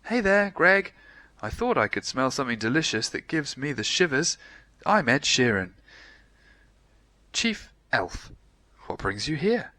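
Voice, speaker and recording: A man's voice: medium pitch, big pitch swings, a little slow, long pauses, sharp tone, dry recording, voice pushed up. A man voicing a friendly, busy chief elf in a chummy, upbeat storybook manner.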